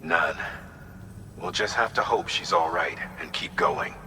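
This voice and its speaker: An actor playing a steady, authoritative male commander giving a measured mission briefing in a video game. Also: low-pitched, full character voice. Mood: sober, hopeful. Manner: measured, instructive. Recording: long hollow reverb, some noise.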